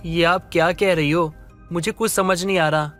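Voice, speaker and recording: A man voicing a meek, patient husband in a Hindi story cartoon, speaking politely and hesitantly, pleading gently. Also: fairly high pitch, steady tone, moderate pace, soft background music, close to an everyday voice. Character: meek, patient middle-class husband, lovestruck and submissive. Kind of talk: Hindi animated story dialogue.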